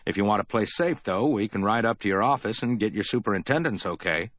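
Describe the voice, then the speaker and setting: A man reading an audiobook, voicing a quick-tempered tough detective who talks loud, harsh and clipped. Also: on the low side, lively intonation. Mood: businesslike.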